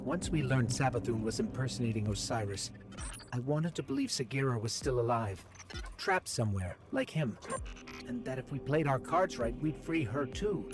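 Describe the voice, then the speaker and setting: Male actor as little robot sidekick, lively intonation, earnest, inquisitive, loyal, game dialogue, music underneath. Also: medium pitch, normal speed, natural voice. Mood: wistful, hopeful. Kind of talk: scripted video game dialogue. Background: background music.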